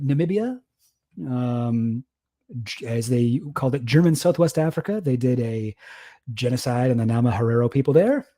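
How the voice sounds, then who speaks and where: A young male streamer talks off the cuff in a mellow, relaxed voice. He rambles, laughs easily and puts himself down as he goes. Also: mid-range voice. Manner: rambling, self-deprecating, laughs often. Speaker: streamer reacting to a debate. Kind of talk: casual livestream commentary.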